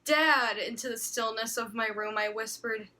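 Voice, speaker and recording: A young woman with a fairly high, bright, forceful voice reads silly fanfiction aloud. She is animated and mock-serious, slipping between dramatic narration and casual chat. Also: normal speed. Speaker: co-host reading fanfiction aloud. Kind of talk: dramatic comic story reading with casual banter.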